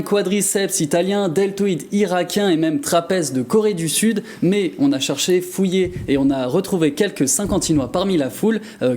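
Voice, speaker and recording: Playful male TV columnist, soft mellow voice. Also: sits high. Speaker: TV magazine columnist. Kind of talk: lively prepared studio chronicle with banter.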